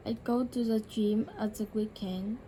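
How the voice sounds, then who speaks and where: A woman practises English shadowing, repeating each line slowly and correcting herself as she goes. Her voice is mellow and flat, and faint background noise sits under it. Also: medium pitch. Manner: slow, careful repetition with self-corrections. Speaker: English learner.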